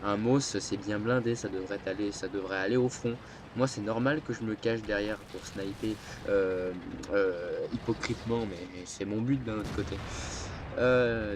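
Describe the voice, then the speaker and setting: A man's voice: fairly low pitch, noisy background. A man with a cold and a stuffy nose, rambling and hesitant as he casually talks over his gameplay. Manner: rambling, hesitant, many filler sounds. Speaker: gameplay commentator.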